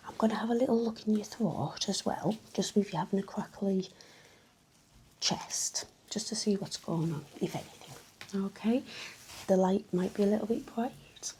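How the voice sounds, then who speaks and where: A woman speaking in a gentle, breathy, mostly whispered voice, playing a reassuring nurse in a soft-spoken ASMR medical roleplay. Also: medium pitch, moderate pace, a little hiss or hum, poor audio. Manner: gentle, reassuring bedside manner. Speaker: ASMR performer playing a home-visit nurse.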